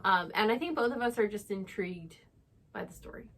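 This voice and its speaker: Female co-host, medium pitch, conversational and unscripted book talk. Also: expressive, brisk. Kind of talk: casual unscripted book chat.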